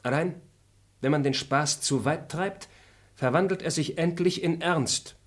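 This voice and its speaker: An actor playing a composed, polite traveling gentleman who questions a suspect in measured tones in a classic radio play. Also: on the high side. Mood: calmly accusing. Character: composed, courteous traveling gentleman, suspicious and probing. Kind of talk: classic radio drama acting.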